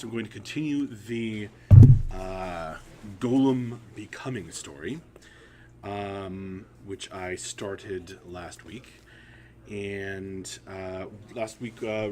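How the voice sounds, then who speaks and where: A man hosting a storytelling livestream, speaking haltingly with frequent pauses. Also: lowish voice. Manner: halting, pausing often. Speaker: writer, editor and stream host. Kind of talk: casual livestream chat and story reading. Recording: audible background hum and noise.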